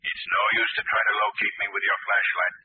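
An actor playing a mysterious unseen male vigilante who coldly accuses a murderer, in 1940s radio acting. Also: lightly acted. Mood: menacing. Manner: menacing, accusing, slow deliberate. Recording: tinny lo-fi old radio broadcast with faint hiss.

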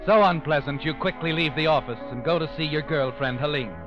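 An actor playing a sardonic, knowing suspense narrator who needles the character directly, in 1940s radio style. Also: male voice, fairly high pitch, fairly quick, natural voice. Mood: wry, knowing. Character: sardonic male narrator needling the guilty man. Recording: dull old radio recording over music.

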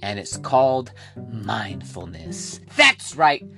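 A man's voice: fairly low pitch, lively intonation, gravelly, pushed and bright, faint music behind. Hype-driven male podcast host. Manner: hype, playful, dramatic drawn-out words. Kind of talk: scripted motivational podcast monologue.

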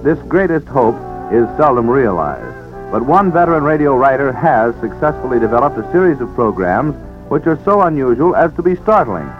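A man working as a radio announcer, reading a scripted promo in a formal, polished 1940s style. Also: medium pitch. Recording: tinny old radio recording with music.

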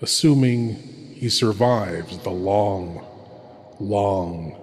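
A long reverb and some hiss surround this man's low voice. He speaks very slowly as a theatrical horror host, stretching words out with ominous, mock-macabre relish. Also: a little mellow. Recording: long echoing reverb, noisy ambience.

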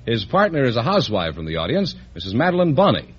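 Lively American announcer on muffled old radio. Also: male voice, mid-range voice, fast, husky. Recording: dull old radio broadcast, faint noise.

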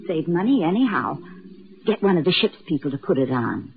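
Fretful, clinging older spinster, creaky voice, vintage radio drama on a noisy, muffled recording. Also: female voice, on the low side, big pitch swings, fairly quick. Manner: nervous, hesitant, fretful. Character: timid, anxious, clinging older spinster sister. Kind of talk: 1940s radio drama acting.

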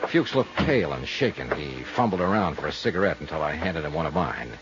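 An actor playing a wry, hard-boiled insurance investigator, narrating and talking in a clipped, dry way in a 1950s radio drama. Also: male voice, a bass voice, lively intonation, fairly quick, highs cut off. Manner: clipped, terse, dry delivery. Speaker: detective narrator and lead character. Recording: vintage 1950s radio recording with music.

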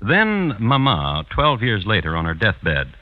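An actor playing a dry, deliberate crime chronicler who hosts and narrates a 1950s radio drama. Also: male voice, on the low side, huge pitch swings, noisy background. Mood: grave, wry. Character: dry, measured male crime chronicler hosting the tale. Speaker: narrator host of crime series. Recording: dull vintage radio recording.

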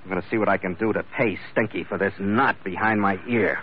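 An actor playing a tough, wisecracking boat skipper with an American accent and a sardonic, slangy manner in old-time radio drama. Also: male voice, lowish voice, fairly even intonation, fairly quick, lightly acted. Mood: grim. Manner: hard-boiled, sardonic, slangy. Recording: muffled, noisy old radio recording.

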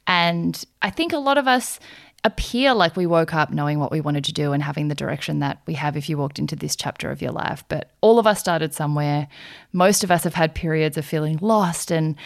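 Bubbly woman podcast host, slightly low voice, quick laughs, friendly interview chat. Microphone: remote recording, some muffled spots.

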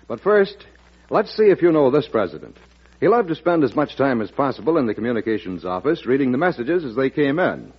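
A man's voice: medium pitch, sing-song, a little fast, husky. A man announcing and telling a story in an emphatic, polished old-time radio style. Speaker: announcer and quiz host. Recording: muffled vintage radio broadcast.

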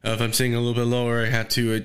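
A man's voice: mid-range voice, completely flat delivery, very fast. A young adult man hosting a casual podcast chat, laid-back, swearing freely and laughing often as he talks about playing music.

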